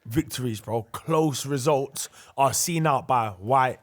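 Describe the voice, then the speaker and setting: A man's voice: medium pitch, takes it slowly. A man chatting casually about football as a panellist.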